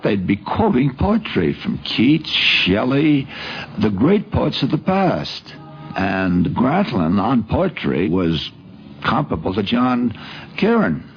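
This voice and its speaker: A man's voice: lowish voice, creaky. A man reminiscing emphatically as an interviewee in an old radio documentary, in a declamatory storytelling way. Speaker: interviewee recalling a late friend. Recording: muffled, noisy 1950s radio recording.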